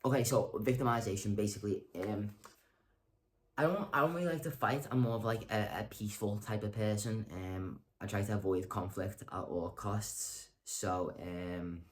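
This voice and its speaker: A young man vlogging in a casual monologue, rambling and hesitant, with lots of ums and self-corrections and deadpan self-mocking humor. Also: fairly low pitch, normal speed. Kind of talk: casual talking-to-camera monologue.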